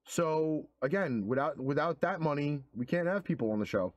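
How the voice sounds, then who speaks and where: A man on a podcast earnestly making his case to the listeners, with casual swearing. His voice sits a bit high for a man. The sound is dry and close. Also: expressive, moderate pace, no echo, dull audio. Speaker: co-host.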